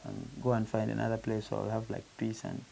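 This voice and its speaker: Man from Uganda, musician guest, gravelly and dark-toned, earnest, faint hiss. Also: on the low side, completely flat delivery, a little fast, rough recording. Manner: earnest, repeats and restarts phrases, says "you know" often. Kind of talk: casual interview talk.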